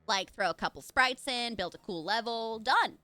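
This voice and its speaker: A woman voicing an excitable, enthusiastic young woman character in a visual novel, with an eager, gushing manner. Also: fairly high pitch, moderate pace, no room sound. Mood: excited.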